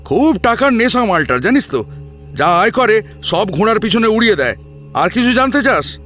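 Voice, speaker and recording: Gossipy young male friend, audio drama: strained high voice, animated, scornful street talk. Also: normal speed, soft background music. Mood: scornful gossiping. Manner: excitable, disapproving, colloquial.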